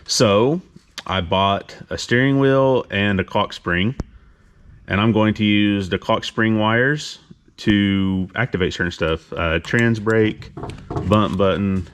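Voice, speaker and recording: Deep voice dude walking thru his truck build in the garage, real casual, kinda self-deprecating. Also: slow, clear, resonant tone. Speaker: car builder hosting a shop update. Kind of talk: casual vlog talk while showing truck parts. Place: indoor vehicle workshop garage.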